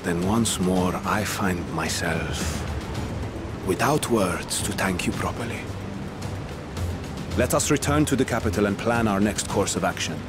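A man's voice: deep voice, measured pace. An actor playing a grave, courteous middle-aged statesman in a video game, speaking formally and earnestly. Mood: solemn gratitude. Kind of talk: video game cutscene acting. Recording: loud orchestral game music behind.

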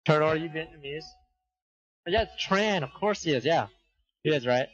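A man talking on voice chat during a game, excitable with loud outbursts and laughter. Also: on the high side, normal speed. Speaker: teammate on voice chat. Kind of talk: casual gaming chat. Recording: muffled voice chat.

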